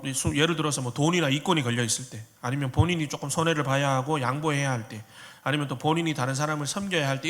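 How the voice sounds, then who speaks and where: A man's voice: mid-range voice, a bit bright. Pastor in his thirties with a faint Korean regional dialect, easy joking delivery, sanctuary reverb. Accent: slight Korean regional dialect. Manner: joking, conversational preaching. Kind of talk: sermon. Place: church sanctuary. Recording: long hall reverb.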